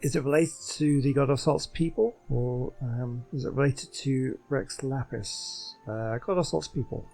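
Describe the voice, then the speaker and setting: A man's voice: medium pitch, a little slow, vocal fry, few pauses, darkish tone, faint music behind, poor audio. A man giving casual, musing gameplay commentary as the host of a gaming video. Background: video game sound.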